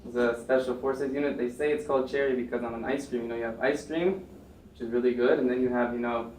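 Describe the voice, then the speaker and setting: A man's voice: mid-range voice, steady tone, fast talker, darkish tone. A young American man on a panel, telling his story casually with many fillers and restarts. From United States. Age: young adult. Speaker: panel speaker. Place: lecture room. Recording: echoey room, mic far off, faint noise.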